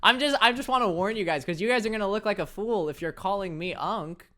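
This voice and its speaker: A young man whose voice sits light and high, talking casually to his viewers over a desk mic. He jokes at his own expense and laughs easily between comments. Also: fast, pushed and bright. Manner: playful, self-mocking, laughs often. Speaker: streamer. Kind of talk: casual chat reading viewer messages while gaming.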